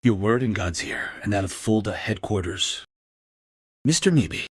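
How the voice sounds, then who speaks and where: An idle, musing provincial policeman, with a husky, mid-pitched voice. The recording is dry, as dialogue in a crime radio play. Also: expressive, unhurried, no room sound. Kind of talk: crime audio drama dialogue.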